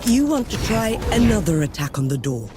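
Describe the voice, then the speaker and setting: An actress playing a stern, skeptical field marshal in a game cutscene, curt and authoritative. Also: female voice, mid-range voice, big pitch swings, moderate pace, noisy background, lightly acted. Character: stern, skeptical female field marshal, battle-worn commander. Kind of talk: video game cutscene acting.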